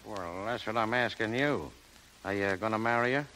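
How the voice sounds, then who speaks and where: An actor playing an awkward, earnest, hesitant showbiz pal in a 1930s radio drama. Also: male voice, fairly low pitch, normal speed, sharp tone. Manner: hesitant, stammering. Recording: crackly 1930s radio recording.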